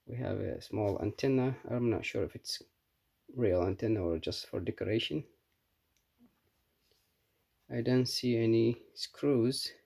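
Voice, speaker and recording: Husky, creaky-voiced man reviewing casually. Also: medium pitch, darkish tone. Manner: halting, unscripted, matter-of-fact. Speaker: product reviewer. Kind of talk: casual hands-on review talk.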